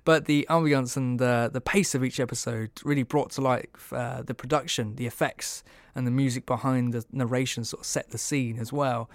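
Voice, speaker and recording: A man's voice: medium pitch, fairly even intonation, normal speed, few pauses, dry recording. A man hosting a podcast, giving a casual review in a hesitant, meandering way. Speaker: podcast host.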